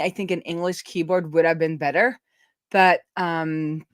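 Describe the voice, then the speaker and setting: Low-voiced Norwegian woman, chatty host. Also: no echo, studio quality. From Norway. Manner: chatty, thinks aloud with fillers and restarts. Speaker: streamer unboxing a laptop. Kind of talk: casual livestream chat.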